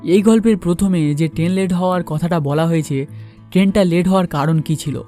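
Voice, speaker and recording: A male narrator tells a ghost story in a dramatic, scripted style. His voice sits high for a man yet stays mellow, with light music underneath. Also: brisk. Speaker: story narrator and channel host.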